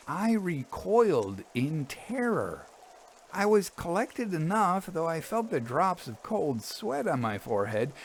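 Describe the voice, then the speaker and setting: A man narrating a literary ghost story aloud with measured, suspenseful and expressive phrasing. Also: medium pitch, slight background noise. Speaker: storyteller reading fiction aloud.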